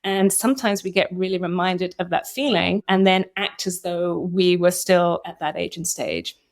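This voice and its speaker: A woman's voice: on the low side, moderate pace. Woman in her fifties, explaining and guiding. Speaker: guest psychotherapist and trauma coach. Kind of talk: explanatory interview talk with guided exercise.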